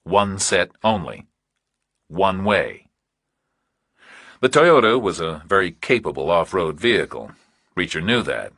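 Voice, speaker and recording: A man reading a scripted thriller audiobook with measured, clipped, dramatic phrasing. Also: sits low, big pitch swings, a bit bright, slightly rough, no room sound, clean studio sound. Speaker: audiobook narrator.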